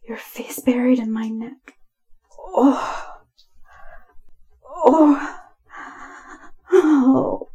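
A woman narrating adult erotic audio slowly and intimately, with a breathy, husky voice that often drops to a whisper and lingers between sighs. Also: on the high side. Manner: slow, hushed, lingering delivery broken by sighs and moans.

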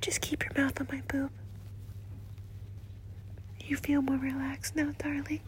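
A woman voicing a doting, flirtatious nurse in a whispered roleplay, with a soft, breathy, slow and coaxing delivery. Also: high-pitched, level tone, slight background noise. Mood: whispery, doting. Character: doting, flirtatious female nurse, motherly and teasing.

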